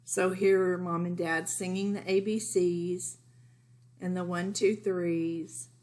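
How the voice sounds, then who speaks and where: A woman reading a picture book aloud as a teacher, deliberate and emphatic, for young children. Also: fairly low pitch, flat delivery, darkish tone. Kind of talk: picture-book read-aloud with informal asides.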